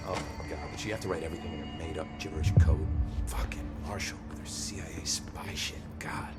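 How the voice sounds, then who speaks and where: A weary security officer from a video game, speaking in a low register with clear music behind him. He is wry and chatty, hedging and muttering complaints like someone tired of his job. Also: steady tone, fairly quick. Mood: exasperated. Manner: casual, hesitant, grumbling, dryly humorous. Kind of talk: naturalistic video game dialogue.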